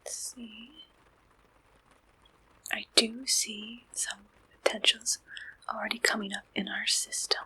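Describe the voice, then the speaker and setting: A woman doing a gentle, polite ASMR roleplay as a friendly, helpful service clerk, speaking in soft whispers. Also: a little high, fairly even intonation, takes it slowly, long pauses, low-quality recording. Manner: gentle, polite, attentive customer-service way of talking. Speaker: ASMR performer playing a dating service receptionist.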